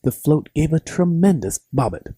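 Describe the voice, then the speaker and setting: A man's voice: on the high side, very animated, moderate pace, mellow, breathy edge, no echo, clean studio sound. A man narrating a scripted bedtime story for children as its storyteller.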